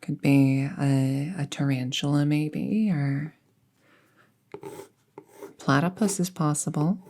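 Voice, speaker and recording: Young apprentice witch for audio roleplay, played by a woman: low, mellow, soft-spoken, casually thoughtful and friendly, near-whispered at times. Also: steady tone, slow pace, a little hiss or hum. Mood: musing, casual. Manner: soft-spoken, hesitant, musing, often trailing into near-whisper. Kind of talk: intimate roleplay audio acting, conversational.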